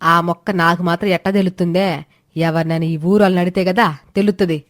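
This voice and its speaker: A woman's voice: lowish voice, steady tone, fast talker, dry recording, clean studio sound, natural voice. A woman voicing an agreeable middle-aged village mother-in-law with a colloquial, matter-of-fact manner in a moral story.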